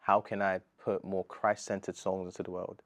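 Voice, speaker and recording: A man's voice: low-pitched, moderate pace, no room sound. A British man of thirty, a gospel artist talking earnestly and casually in an interview, full of slang. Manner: earnest, animated, slangy, says bro a lot.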